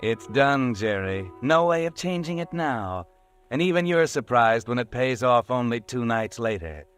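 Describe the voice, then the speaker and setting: A man's voice: medium pitch, animated, normal speed, clean tone, soft background music, lightly acted. A man playing a mocking, ominous narrator who goads the listener in the second person, in a 1940s radio drama. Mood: grim. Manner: taunting, goading, second-person.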